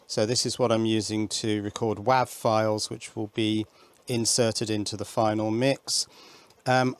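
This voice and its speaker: A man's voice: mid-range voice, no room sound. A man presenting an informal hands-on electronics demonstration, thinking aloud and correcting himself as he explains.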